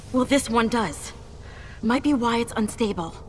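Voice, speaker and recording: A young game heroine, high pitched, slow n earnest, curious, bit of noise behind her. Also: animated. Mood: troubled wonder. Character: determined, inquisitive young female game heroine. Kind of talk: video game character acting. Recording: clear ambient noise.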